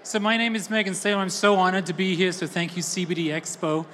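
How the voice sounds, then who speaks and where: A woman from Texas giving an energetic, conversational talk at a conference and asking the audience questions. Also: on the low side, fast talker, a little hiss or hum. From Austin, Texas. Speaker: conference keynote speaker. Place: large conference hall. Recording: roomy hall with faint ambience.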